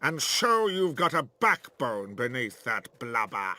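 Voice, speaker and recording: Condescending imperial noble, bright and lively, slow, music under. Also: male voice, mid-range voice, dry recording, heavily acted. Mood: haughty. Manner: sneering, condescending. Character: haughty scornful imperial brother, adult man. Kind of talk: video game cutscene acting. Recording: game audio with music behind.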